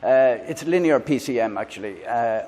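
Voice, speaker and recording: An Irish man with a mid-pitched voice, giving a casual hands-on gadget review. He speaks haltingly, with frequent filler sounds and small restarts. His voice is picked up close on a clip-on lavalier mic. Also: expressive, warm tone. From Ireland. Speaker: tech reviewer, channel host. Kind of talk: casual product review and demo. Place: indoors in a room with a fan, then outdoors by a busy road.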